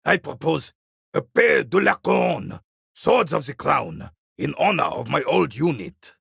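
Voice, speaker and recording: An actor playing a proud, bitter old royalist veteran in a video game, gruff and scornful, with a gravelly, raspy voice. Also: male voice, fairly high pitch, very animated, a little slow, fairly bright tone, dry recording, studio quality, heavily acted. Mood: indignant, forceful. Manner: gruff, scornful, theatrical, barking at younger men. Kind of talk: video game character dialogue.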